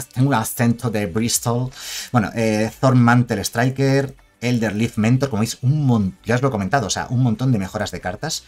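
A man in his thirties, a channel host from Spain, giving casual game news to camera on a headset at home, slowing down to read card names and often checking in with his viewers. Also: mid-range voice. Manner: chatty, reads card names slowly, asks for agreement with ¿vale?. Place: small room at home.

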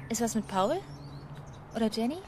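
A woman's voice: a little high, lively intonation, moderate pace, noisy background, lightly acted. A woman playing a middle-aged, poised and evasive wife under questioning in a naturalistic radio crime drama, composed and slightly haughty. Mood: wary, defensive. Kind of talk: naturalistic German radio play acting. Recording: faint outdoor ambience with birdsong. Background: birdsong on a terrace.

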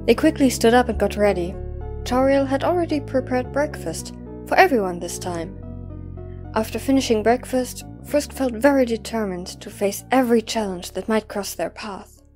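A woman's voice: on the high side, moderate pace, a little mellow, soft background music. A woman reading scripted story narration as the narrator of an audio drama.

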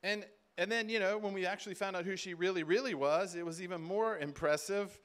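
A man's voice: high voice, brisk, fairly bright tone, clean tone. A middle-aged man speaking to a conference audience in an informal sermon style, talking conversationally, repeating words and acting out bits of dialogue. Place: large indoor hall with seated audience. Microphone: far camera at back of room.

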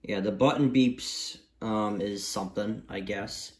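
A man giving a casual, unscripted review in a halting, repetitive and emphatic way, recorded in a room at home. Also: lowish voice, noisy background. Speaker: vlogger reviewing gadgets. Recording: roomy, echoey home recording.

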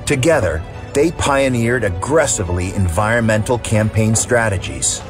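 Low-pitched male documentary narrator. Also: expressive, normal speed, music in the background.

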